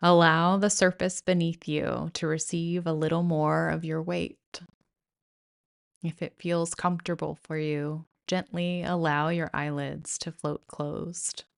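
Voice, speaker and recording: A woman guiding a yoga nidra meditation in a soothing, gentle, measured way with pauses. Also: low-pitched, flat delivery, gravelly, clear, resonant tone. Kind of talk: guided meditation narration.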